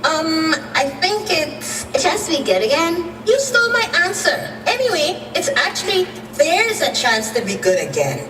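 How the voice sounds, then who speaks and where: Eager schoolgirl, voiced by a woman: very high, animated, radio drama, reverberant room. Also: normal speed, few pauses. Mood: eager, huffy. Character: eager, huffy schoolgirl answering in class. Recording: echoey, distant, noisy.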